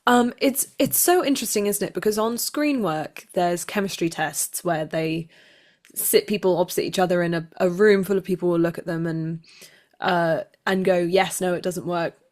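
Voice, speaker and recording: Woman, slightly low voice, conversational interviewee, expressive and chatty. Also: normal speed. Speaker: actor being interviewed.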